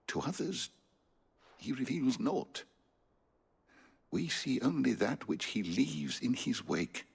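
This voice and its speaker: Husky, florid old scholar. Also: male voice, mid-range voice, exaggerated voice. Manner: florid, erudite, theatrical phrasing. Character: bookish elderly male scholar, emotional, kindly and verbose. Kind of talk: video game voice acting.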